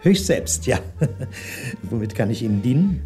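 An actor playing a polite, evasive French shopkeeper and ex-detective in a 1960s German radio crime drama, courteous but hesitant and stalling. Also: male voice, mid-range voice, big pitch swings, normal speed, dark tone, soft background music, close to an everyday voice. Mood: obliging.

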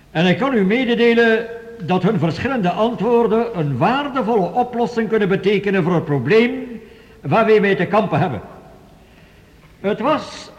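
A formal chairman in a radio drama. His high male voice speaks with measured deliberation in a long, hall-like reverb, with some noise behind it. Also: highs cut off. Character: formal male chairman addressing a grave scientific assembly. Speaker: actor. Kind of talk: Dutch radio play acting, formal speech.

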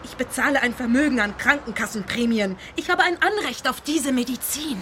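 A woman's voice: high-pitched, a little fast, a bit bright, slight background noise. A woman playing a demanding, aggrieved patient in a radio play, indignant and snappish. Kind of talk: radio drama dialogue.

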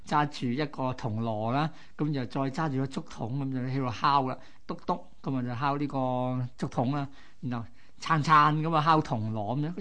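A man's voice: mid-range voice, a bit bright. A man with a mid-range voice tells history as a co-host. He explains in colloquial Hong Kong Cantonese, like chatting, with vivid exclamatory phrasing. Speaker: radio co-host. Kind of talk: conversational history storytelling.

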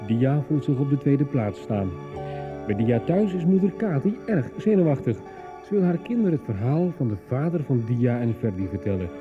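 Male narrator, scripted serial recap, medium pitch, music behind, phone-like sound. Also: very animated, normal speed.

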